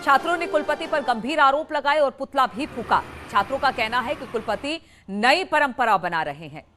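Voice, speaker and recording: A woman anchoring a television news bulletin in a high-pitched voice. She reads formally and emphatically, repeating the headline points. A clear music bed plays under her voice. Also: animated, normal speed, pushed and bright. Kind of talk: broadcast news reading.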